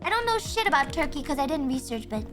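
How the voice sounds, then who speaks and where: A woman streamer chatting casually and thinking aloud, excitable, with frequent gasps and laughs. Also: sits high, fast, faint music behind. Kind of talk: casual livestream chat.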